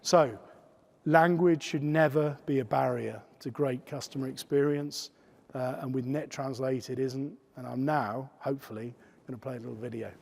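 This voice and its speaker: A man's voice: mid-range voice, steady tone, normal speed, creaky, a little mellow. A man giving a business conference talk as a company chief executive, hesitant with many fillers and restarts. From United Kingdom. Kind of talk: conference presentation.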